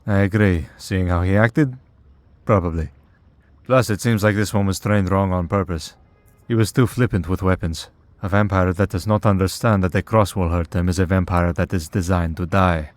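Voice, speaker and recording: A man's voice: sits low, steady tone, normal speed, dry recording, close to an everyday voice. A man playing a wry, teasing vampire in a priest's collar for an ASMR roleplay, in a sardonic, conversational way. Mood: musing, sober.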